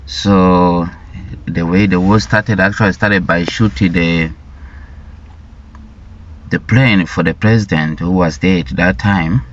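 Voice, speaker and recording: A man from Rwanda speaks slowly in a very low voice, telling his personal story with halting, deliberate phrasing and repeated words. The recording sounds dull and lo-fi. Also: fairly even intonation, slight background noise. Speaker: vlogger sharing his life story.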